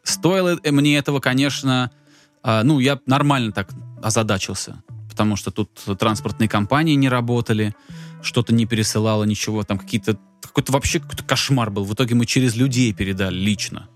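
A man's voice: mid-range voice, fairly quick, soft background music. A man casually telling a long story in a podcast chat, rambling and full of fillers. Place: Tbilisi.

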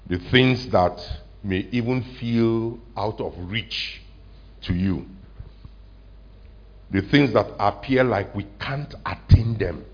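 A man, a pastor preaching a conversational sermon to his congregation, often asking them questions and slowing down to stress a point. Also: fairly low pitch, muffled recording. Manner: conversational, asks the congregation questions, slows for emphasis. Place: church hall with congregation.

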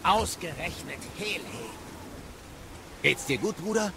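A man playing an earnest, concerned companion in a video game audio drama, with a creaky voice. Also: sits high, lively intonation, unhurried, noisy background. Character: concerned companion who calls the warrior brother. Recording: loud music and storm effects behind.

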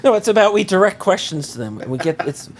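A hoarse man recovering from a cold, co-hosting a casual podcast and teasing his friend dryly, often restarting his sentences. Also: high voice, animated, a little fast, slight background noise. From Maryland. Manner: dry, teasing, stumbles over words. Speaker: podcast co-host.

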